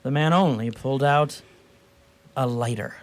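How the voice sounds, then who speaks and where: A man narrating a scripted radio play as a theatrical, deliberate storyteller. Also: mid-range voice.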